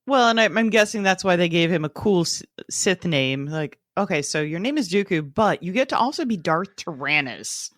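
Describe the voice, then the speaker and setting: A woman's voice: on the low side, expressive, normal speed, few pauses, dry recording, clean studio sound. A woman co-hosting a book podcast, talking casually and with a playful, jokey manner. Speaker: podcast co-host. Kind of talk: casual book-club discussion.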